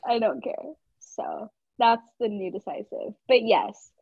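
A young woman from Georgia, co-hosting a podcast in a bubbly, excitable casual chat. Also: on the high side, measured pace, gravelly, husky, no room sound. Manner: bubbly, excitable, talks with lots of fillers. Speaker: podcast co-host. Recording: dull lo-fi sound.

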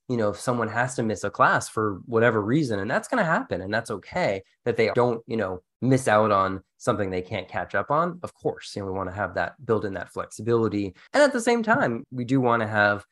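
A man's voice: on the low side, fairly bright tone. A man talking conversationally as co-host of an educational podcast, explaining ideas casually with a wry touch. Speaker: podcast co-host, instructional designer.

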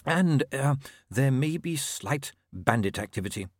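A man's voice: medium pitch, lively intonation, unhurried, no echo, studio quality, pitched above the performer's own voice. A man voicing a formal, hesitant, deferential male official in an audiobook. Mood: deferential, cautious. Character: fussy, deferential male official with understated warnings.

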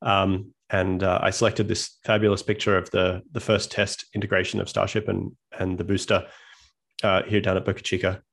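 A man's voice: low voice, level tone, normal speed, vocal fry, fairly bright tone. A man in his thirties with an Australian accent, giving a friendly, self-deprecating slide presentation as a guest physicist over a video call.

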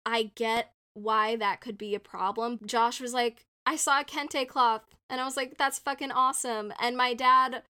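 Young woman from North India, higher register, animated casual co-host. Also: smooth. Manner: animated, quick to agree, acts out quotes in a playful voice. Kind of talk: casual film discussion.

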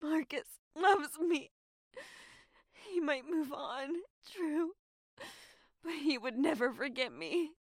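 An actress playing a frightened but defiant captive in an audio drama, emotional and biting. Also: female voice, high voice, expressive, slow pace, dry recording, studio quality. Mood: tearful, hurt. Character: terrified but defiant young female captive of a killer.

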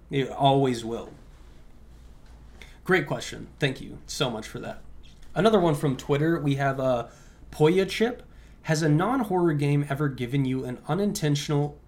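Young man, casual rambling podcast talk. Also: mid-range voice. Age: young adult. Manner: informal, conversational, heavy use of "like". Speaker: podcast host.

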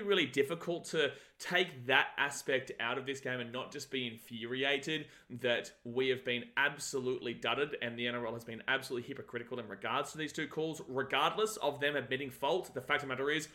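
A passionate man argues his side in a casual sports podcast. His voice is bright and forceful. He talks like a fired-up fan. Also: medium pitch. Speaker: podcast co-host.

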